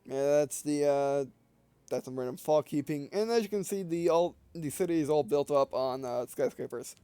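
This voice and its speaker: Mellow, offhand male gamer commentary. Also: a little high, moderate pace, smooth, dry recording. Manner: hesitant, offhand, rambling. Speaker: gamer playing and commenting on a visual novel. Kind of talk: casual let's play commentary.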